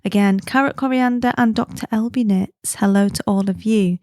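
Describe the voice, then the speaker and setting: A woman's voice: mid-range voice, normal speed, a little mellow, dry recording, studio quality. A woman from the UK hosting her own podcast, chatting in a friendly, easygoing way and laughing easily. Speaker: podcast host. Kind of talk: casual chatty podcast monologue.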